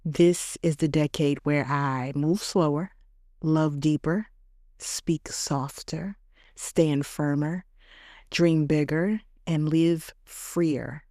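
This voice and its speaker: A woman in her late thirties hosting a podcast, delivering a reflective scripted monologue in slow, measured, affirming short phrases. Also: low voice, steady tone, warm tone, no room sound, studio quality. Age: late 30s. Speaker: podcast host.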